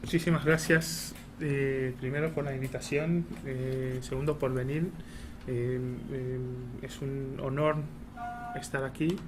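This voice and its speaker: A man speaking Spanish as a second language, with an Argentine accent. He talks in a halting way, stopping on fillers and restarting his phrases. Steady background noise runs under the recording. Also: medium pitch. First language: Hebrew. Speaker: embassy spokesperson giving a talk. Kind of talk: prepared public talk with frequent hesitations. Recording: noisy room recording.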